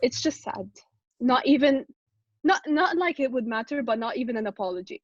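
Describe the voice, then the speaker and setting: A woman's voice: high voice, expressive, moderate pace, dry recording. A woman in her twenties sharing her opinions casually as a participant in a group discussion. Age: 20s. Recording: dry, dull online call audio.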